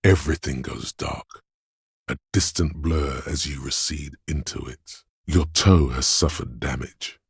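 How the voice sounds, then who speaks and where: Embodied pain as game narrator, extremely low, husky and rough, menacingly intimate, deliberate, darkly wry. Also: male voice, dry recording, clean studio sound, exaggerated voice. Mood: ominous, pained. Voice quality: gravelly, husky. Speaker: video game voice actor. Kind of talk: scripted second-person game narration.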